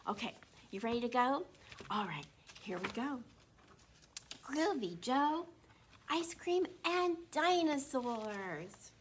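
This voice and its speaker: A woman in her sixties with a slightly froggy throat, hosting an interactive read-aloud for young children in an animated, encouraging way. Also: on the high side, slow pace, pauses often. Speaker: children's storytime host at a library. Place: small indoor room. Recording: constant room noise.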